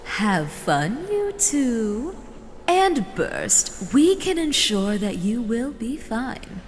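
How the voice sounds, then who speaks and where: A woman playing a doting, possessive female elder in an audio drama, with a drawn-out, sweetly condescending, theatrical delivery. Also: fairly high pitch, very animated, heavily acted. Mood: pleased, grand. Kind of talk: scripted fan audio drama acting. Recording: reverberant hall, faint noise.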